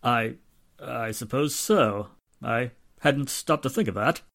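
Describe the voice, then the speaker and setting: An actor playing a nervous, prissy, evasive murder suspect in a 1940s-style radio drama, flustered and theatrically precise. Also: male voice, mid-range voice, takes it slowly, gravelly, a bit bright.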